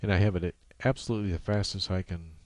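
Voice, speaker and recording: A man with a low voice narrates a screen-capture tutorial. He explains each step off the cuff, halting as he goes, in a casual way. The sound is dry, with no room to it. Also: fairly even intonation, no echo. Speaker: software tutorial presenter. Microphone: USB microphone.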